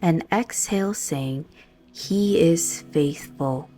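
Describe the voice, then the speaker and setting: A woman with a low voice guides a prayerful meditation. She speaks very slowly and soothingly, leaving measured pauses between phrases. Gentle guitar music plays softly behind her. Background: soft guitar music.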